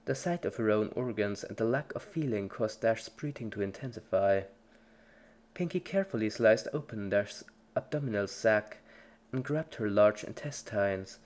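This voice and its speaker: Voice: medium pitch, normal speed, darkish tone. A narrator giving a scripted dramatic reading of a story in the third person. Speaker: fan fiction narrator.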